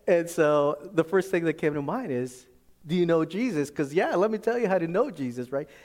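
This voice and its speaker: A man, a pastor, tells a personal story in a conversational, halting sermon style, often acting out the dialogue. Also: fairly high pitch, lively intonation, a little mellow. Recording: echoey hall with long reverb.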